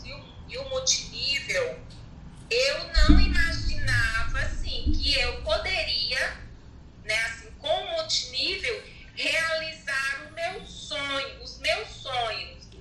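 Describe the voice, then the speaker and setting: A woman from Alagoas in Brazil, possibly, speaking slowly and haltingly with many pauses as a guest in a casual interview. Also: sits high, lively intonation, background noise. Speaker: network marketing participant, guest. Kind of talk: hesitant conversational testimony. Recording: echoey distant mic with faint ambience.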